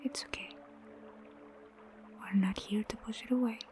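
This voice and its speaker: A woman guiding a meditation in a soft, breathy near-whisper, gentle and soothing, unhurried with long pauses. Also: a little high, steady tone. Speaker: meditation guide. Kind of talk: guided meditation narration. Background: prominent music.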